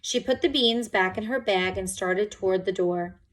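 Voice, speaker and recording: A woman's voice: medium pitch, moderate pace, low-quality recording. A woman reading a picture book aloud to children, expressively and with dramatic emphasis.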